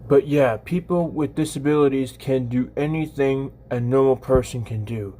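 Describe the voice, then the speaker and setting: A man in his twenties hosting a casual livestream, talking to viewers in a halting, repetitive way with frequent restarts. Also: medium pitch, mellow. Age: 20s. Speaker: live streamer, channel host.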